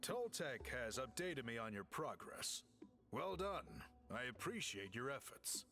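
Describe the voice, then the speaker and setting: Casting: courteous game artisan, mid-pitched man, expressive melody, measured and explanatory. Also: faint music behind, heavily acted. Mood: appreciative. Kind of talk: video game voice acting.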